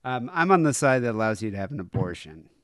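A man's voice: medium pitch, big pitch swings, fairly bright tone, no echo, studio quality. Sarcastic male podcast host. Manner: sarcastic, swearing, halting with fillers. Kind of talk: casual political chat.

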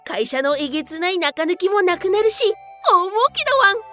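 A woman playing an eager, flattering talking dog in a comedic radio drama, with a cutesy yelping way of talking. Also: extremely high pitch, normal speed, soft background music, exaggerated voice. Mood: egging on. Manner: cutesy, ends sentences with a dog's yelp.

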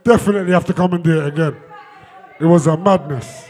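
A man MC, hoarse from performing, excitably laughing and hyping things up. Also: fairly high pitch, fast talker. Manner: excitable, laughing, hypes up the crowd. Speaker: MC on a live stream. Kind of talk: hyped live banter.

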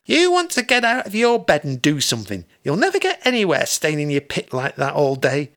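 A disapproving Northern English mother of middle age, acted by a male audiobook reader. The voice is pushed up high and scolds in a nagging, impatient way. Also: huge pitch swings, brisk, full character voice. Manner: scolding, shouting.

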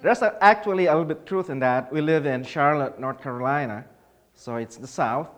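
A man's voice: fairly high pitch, brisk. Filipino-born man giving a church talk. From Philippines. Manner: explanatory, asks the audience questions. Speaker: conference speaker. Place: conference hall.

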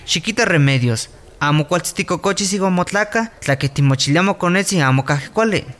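High-voiced male doctor, patiently instructing. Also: lively intonation, normal speed, fairly bright tone. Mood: patient, instructive. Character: male doctor patiently advising village mothers. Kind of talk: scripted radio drama in Nahuatl.

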